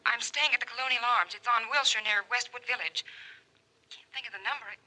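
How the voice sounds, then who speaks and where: Troubled dame, highish, extremely bright voice, urgent, hesitant, golden age radio drama. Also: female voice, fast, poor audio. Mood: flustered. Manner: urgent, hesitant, breaking off mid-sentence. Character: anxious, troubled woman pleading secretly for help. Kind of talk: 1940s-50s radio drama acting.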